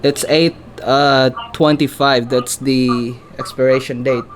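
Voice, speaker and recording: A Filipino man with a mid-pitched voice talks casually in a halting, unsure way. His native language is Filipino and his accent shows it. There is faint noise behind him. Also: flat delivery. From Philippines. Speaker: vlogger. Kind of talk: casual conversation and commentary.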